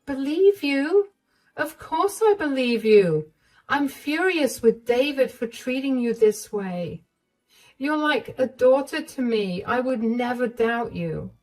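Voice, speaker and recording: Storybook mother-in-law, middle-aged, protective and reassuring, put on by a woman narrator, pitched up. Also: lively intonation, slow, full character voice, poor audio. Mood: firm. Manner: warm, indignant and reassuring.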